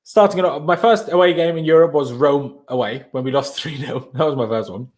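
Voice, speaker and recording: A man from Austria with a slightly raised pitch, hosting a relaxed live stream. He talks in a loose, chatty way and answers viewer comments one after another, calling people bro. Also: brisk.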